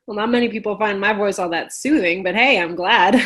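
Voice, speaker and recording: A woman's voice: mid-range voice, a little fast, fairly bright tone, close to an everyday voice. A woman playing a sarcastic, supportive friend in a play reading, with dry, joking delivery.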